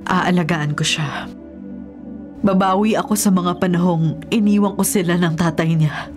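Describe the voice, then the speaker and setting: A woman's voice: fairly low pitch, steady tone, normal speed, darkish tone, soft background music, close to an everyday voice. An actress playing a remorseful, devout middle-aged mother with a Filipino accent, earnest and solemn, in a radio drama. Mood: resolute.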